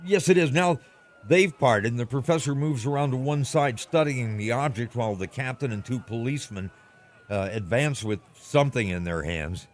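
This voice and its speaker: An actor playing a frightened radio reporter in an old-time radio drama, stammering through an eyewitness account. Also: male voice, mid-range voice, close to an everyday voice. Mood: uneasy watchfulness. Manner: stammering, breathless eyewitness description. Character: frightened male radio reporter at alien landing site.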